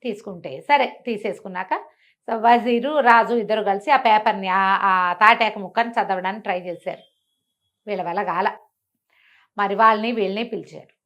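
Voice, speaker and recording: A woman's voice: medium pitch, steady tone, normal speed, pauses often, a bit bright. A woman telling a bedtime story informally and conversationally, with explanatory asides and rhetorical questions.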